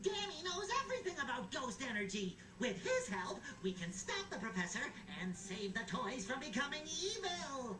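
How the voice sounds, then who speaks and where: A woman's voice: sits high, very animated, normal speed, hardly any pauses, far from the mic. A woman voicing a spirited, sassy cartoon game hero in a punchy, emphatic way. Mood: urgent. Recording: roomy game audio over music.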